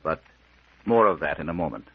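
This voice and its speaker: A man narrating an old-time radio mystery in a slow, dramatic scripted style. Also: rumbling low, sing-song, gravelly, slightly rough. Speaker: serial narrator. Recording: muffled old radio recording.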